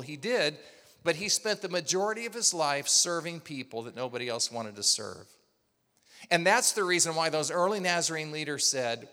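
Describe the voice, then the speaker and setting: A man's voice: a little high, bright, forceful tone. A man from Oklahoma preaching a sermon as pastor, telling a story and asking the congregation questions. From Oklahoma, USA. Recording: long hall reverb.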